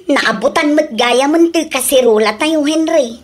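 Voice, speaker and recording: A woman's voice: high-pitched, a little slow, a little hiss or hum. A woman voicing a fussy wife in a radio drama, scolding and complaining about quality. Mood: nagging.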